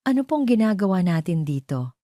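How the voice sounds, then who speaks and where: Woman narrator as restrained Filipino wife, low and mellow. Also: brisk, no echo, studio quality. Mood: hesitant. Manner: restrained, even, careful. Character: wronged wife, hesitant but growing composed and resolute.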